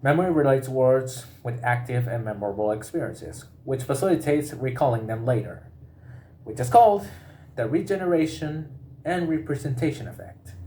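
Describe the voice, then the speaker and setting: A man reads a prepared informational text aloud in a halting way, often breaking off in the middle of words and repeating them. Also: medium pitch, animated, dark tone.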